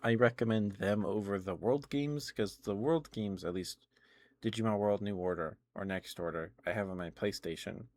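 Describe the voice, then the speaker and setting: Very deep-voiced man, casual ASMR streamer. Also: moderate pace, a little mellow. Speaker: livestream host. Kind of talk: casual rambling ASMR stream chat about video games.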